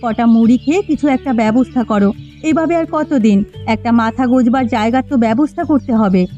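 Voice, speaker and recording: A woman's voice: fairly high pitch, brisk, dark tone, soft background music, natural voice. A woman voicing a patient, practical village mother in a story cartoon, on a dull lo-fi recording. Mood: hopeful. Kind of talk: Bengali moral story cartoon dubbing.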